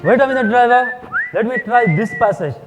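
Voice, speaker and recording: A man playing a plague-time corpse collector who calls out loudly from the street. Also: very high-pitched, brisk, big-room sound, over loud music. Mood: calling. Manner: calling out loudly. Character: loud male plague cart corpse collector.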